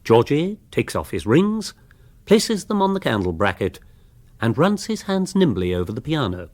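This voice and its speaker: A man playing a wry storyteller narrating a radio social comedy, British, arch and husky. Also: medium pitch, very animated, normal speed, darkish tone. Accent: British English. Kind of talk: scripted narration of radio drama.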